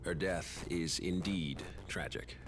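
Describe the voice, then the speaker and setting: An actor playing a calm, formal male official in a game, speaking in a measured, composed way. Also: deep voice, noisy background. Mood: grave.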